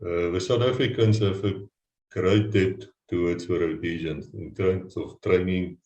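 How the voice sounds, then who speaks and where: Deep-voiced male host in a roomy space. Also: fairly even intonation, a little slow. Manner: earnest, warm praise of guest. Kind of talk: casual interview talk.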